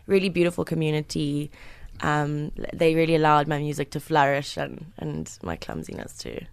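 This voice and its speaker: A young South African woman chatting as a radio guest, her voice smooth and clear and a little low for a woman. She talks loosely with many fillers and giggles, close on a dry studio mic. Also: moderate pace, no echo. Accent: South African English. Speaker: guest singer. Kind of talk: casual interview chat.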